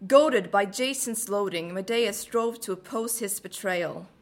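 Young Norwegian woman, medium pitch, slow, melodic, many fillers. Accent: Norwegian, American-leaning English. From Norway. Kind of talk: read passage and casual talk.

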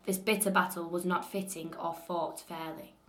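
A young woman with a Lancashire accent reads a passage in a clear, measured way, then slips into relaxed, chatty talk. Her voice sits a little low, and the recording carries the echo of a roomy space. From northern England. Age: young adult. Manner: clear, measured reading; relaxed and chatty when talking freely.

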